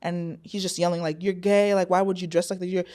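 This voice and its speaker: A woman in her twenties speaks in a fairly low voice as a guest in a podcast studio. She tells her story animatedly, acts out other people's lines, and uses plenty of "like" fillers. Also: level tone, brisk, warm tone. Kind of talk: personal storytelling.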